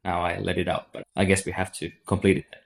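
A man's voice: low-pitched, level tone, fast, creaky. A man from Finland with a Finnish accent, a guest on a podcast interview, explaining at length with many "like" and "so" fillers. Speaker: agency CEO, guest.

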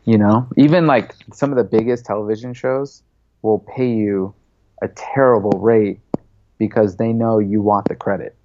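A man's voice: on the low side, steady tone, moderate pace, a little mellow, no echo, muffled recording. A man in his thirties, a filmmaker and podcast guest, talking in a loose, rambling way full of fillers. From United States. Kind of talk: casual interview.

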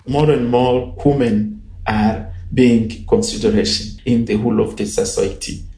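A man from Congo answers questions very slowly and hesitantly, in phrasing that sounds non-native. His voice sits at a middle pitch and rings in an echoey room, with faint music underneath. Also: mellow. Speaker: interviewee, entrepreneurship support worker. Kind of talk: interview answers.

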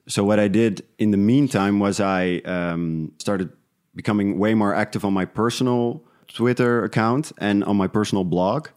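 A man from the Netherlands who grew up speaking talks in a fairly low voice. He is a guest in a relaxed interview, thinking aloud with some hesitation as he explains himself. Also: normal speed. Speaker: interview guest, independent podcast creator. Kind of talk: casual interview conversation.

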